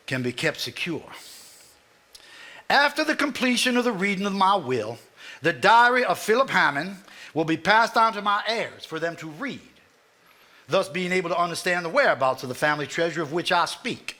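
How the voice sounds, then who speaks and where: A man with a Southern US accent playing a formal, measured family lawyer reading a will aloud in a live stage drama. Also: high-pitched, bright, forceful tone, full character voice. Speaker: stage actor.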